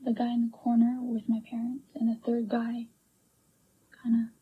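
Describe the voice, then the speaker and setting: A woman giving hesitant, halting answers as a witness in a police interview, heard on an archival recording. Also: fairly high pitch, completely flat delivery, phone-quality sound, slight background noise.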